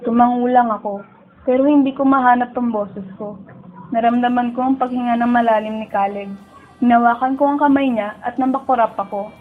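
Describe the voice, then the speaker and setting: A woman's voice: fairly high pitch, steady tone, a little fast, phone-quality sound, lightly acted. A woman with a Filipino accent playing an emotional, pleading young woman who narrates and speaks in a tender, heartbroken radio drama. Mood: sorrowful, reflective. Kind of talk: dramatic first-person narration and dialogue.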